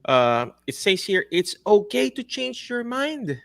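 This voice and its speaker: A man with a Filipino accent hosting a casual interview over a video call, animated and laughing often. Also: high voice, slow pace, vocal fry, no room sound, clean studio sound. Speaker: host.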